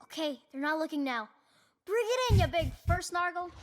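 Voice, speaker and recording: A woman's voice: very high voice, expressive, normal speed, slight background noise. A woman voicing a brave, playful little boy hero in a video game, childlike, exuberant and earnest. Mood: playful, excited. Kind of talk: video game character voice lines.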